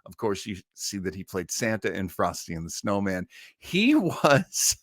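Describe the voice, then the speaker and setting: A man's voice: fairly low pitch, sing-song, unhurried, dry recording. Enthusiastic man hosting, close dry sound. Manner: enthusiastic, chatty fan. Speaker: host of an online listening party. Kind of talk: casual live chat introducing a radio cast.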